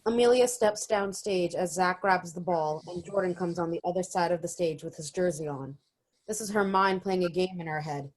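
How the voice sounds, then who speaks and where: A woman's voice: fairly low pitch, normal speed, natural voice, rough recording. A woman reading stage directions as narrator in a virtual reading of a play. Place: remote virtual reading over video call.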